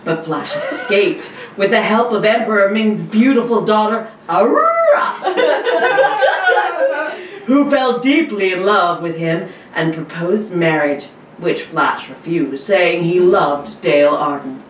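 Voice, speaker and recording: A woman's voice: mid-range voice, animated, takes it slowly. A woman co-hosting a podcast and reading narration as a mock radio announcer. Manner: playful, dramatic reading. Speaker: guest co-host and announcer. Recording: roomy, distant mic, faint noise.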